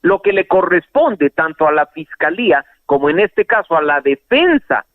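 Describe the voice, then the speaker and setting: A man's voice: fairly high pitch, big pitch swings, moderate pace, no room sound. A male lawyer, speaking as a radio guest over the phone and explaining legal points in a composed way, with the odd hesitation. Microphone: telephone line. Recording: dry, tinny phone line.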